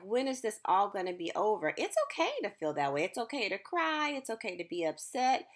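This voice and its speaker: A woman speaks to young children as a school counselor doing a read-aloud. Her pace is slow and deliberate, and her mid-pitched voice moves up and down in a very lively way. She sounds reassuring and encouraging, both when reading and when adding her own explanations.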